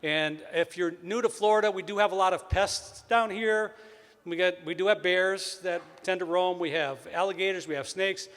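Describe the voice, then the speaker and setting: A man's voice: normal speed, a bit bright. A man from Wisconsin with a Wisconsin accent, emceeing a college convocation with upbeat announcements and jokes. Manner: upbeat, joking, booming sports-announcer intro. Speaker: event emcee. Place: large hall. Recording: long hall reverb.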